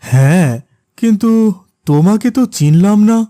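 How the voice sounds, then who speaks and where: A man voicing a gentle, patient middle-aged father in a cartoon. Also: high voice, lively intonation, moderate pace, no echo, studio quality. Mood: surprised. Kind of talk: cartoon voice acting.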